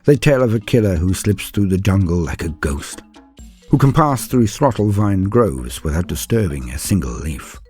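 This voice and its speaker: A man's voice: low-pitched, very animated, moderate pace, mellow, faint music behind. A man giving a theatrical, scripted lore narration in a grandiose storyteller's manner, as the host of the series.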